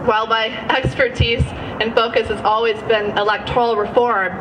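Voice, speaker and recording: A woman's voice: on the high side, fairly even intonation, moderate pace. A woman in her thirties giving an earnest speech to an audience, with frequent fillers and self-corrections. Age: 30s. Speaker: guest speaker at a rally. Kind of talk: public speech. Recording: distant mic with audience noise.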